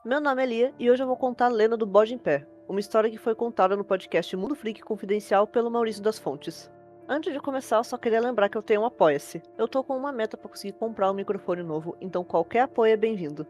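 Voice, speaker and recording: A woman's voice: a little high, fast talker, darkish tone, soft background music. A young Brazilian woman telling a scary story as host, in casual scripted narration. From Brazil, from a capital city.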